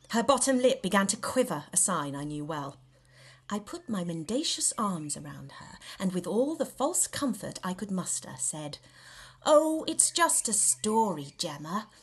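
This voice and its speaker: A woman narrates literary fiction as an audiobook reader. Her voice sits a little low and moves very freely in pitch. The delivery is theatrical and measured, with dramatic emphasis. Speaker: reader of a novel extract. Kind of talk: expressive scripted audiobook narration.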